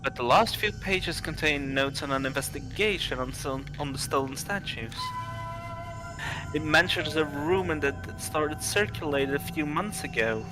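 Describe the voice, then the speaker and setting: A man reading visual novel dialogue and narration aloud on a livestream, halting and stumbling over some words. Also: fairly high pitch, music in the background, poor audio.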